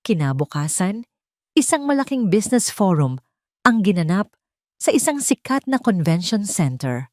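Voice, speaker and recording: A woman's voice: medium pitch, very animated, normal speed, no echo, clean studio sound. A woman narrating a scripted dramatic love story as an audio drama.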